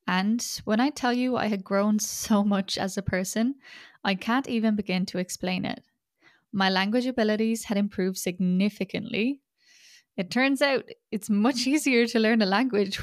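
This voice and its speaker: A woman's voice: medium pitch, no echo, clean studio sound. Chatty Irish woman hosting a podcast. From Ireland. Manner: chatty, self-deprecating and wry. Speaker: podcast host. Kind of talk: scripted podcast monologue.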